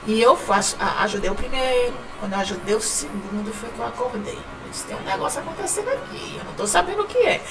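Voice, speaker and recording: A woman's voice: a little high, huge pitch swings, fast talker, hardly any pauses, over music. Chatty northeastern brazilian woman, mic far off. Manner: chatty, repeats words, laughs often. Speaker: guest, writing company founder. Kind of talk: casual podcast interview.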